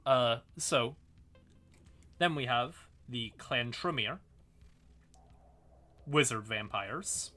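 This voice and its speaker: A man's voice: medium pitch, lively intonation, extremely slow, pauses often, bright, forceful tone. A man hosting a casual livestream, explaining fantasy game lore in an informal, sweary, apologetic way while sounding a bit tired. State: tired, yawning. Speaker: livestream host.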